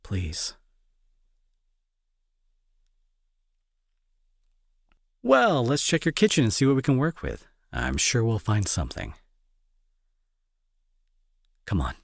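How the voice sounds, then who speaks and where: A young man playing a remorseful bully turned tender caretaker in an intimate audio drama, speaking softly and earnestly with a breathy, husky, hesitant delivery. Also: mid-range voice, wildly swooping pitch, moderate pace, no echo, studio quality, heavily acted. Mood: lightly teasing, caring. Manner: soft, hesitant, earnest, reassuring.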